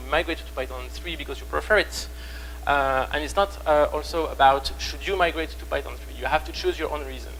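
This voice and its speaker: French-accented man giving a halting tech talk. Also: a little high, fairly bright tone, a little hiss or hum, low-quality recording. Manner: halting, many fillers and restarts. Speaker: conference speaker. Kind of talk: technical conference talk. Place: conference room.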